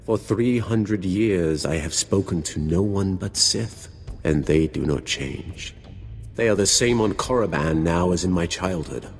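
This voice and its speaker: An actor playing an ancient, proud Sith lord in a video game, speaking in a formal, solemn and disdainful manner. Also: male voice, low-pitched, a little slow, creaky, faint music behind. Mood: reflective. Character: ancient, grim, proud Sith lord, three centuries old. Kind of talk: dramatic video game voice acting.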